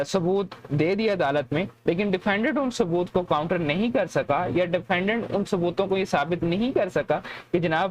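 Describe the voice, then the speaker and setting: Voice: medium pitch, a little hiss or hum. A lecturer with a Pakistani accent, explaining methodically in a teaching style and mixing in English terms. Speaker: law lecturer.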